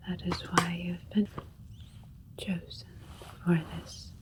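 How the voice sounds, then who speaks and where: A woman playing a calm, clinical alien doctor in an ASMR sci-fi roleplay, speaking in a gentle, reassuring breathy whisper. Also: on the low side, rough recording. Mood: calm, welcoming. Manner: slow, gentle, reassuring, formal and procedural. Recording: faint steady spaceship ambience noise. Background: soft alien spaceship ambience.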